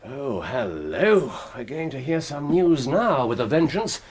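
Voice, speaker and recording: A brilliant Victorian detective in a radio play. His English voice sits fairly high and swings widely in pitch. He is imperious and theatrical, with wry amusement. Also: big pitch swings, a little mellow, husky, heavily acted. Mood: gleeful. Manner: theatrical, wry, commanding, quick to laugh. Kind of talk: radio drama acting.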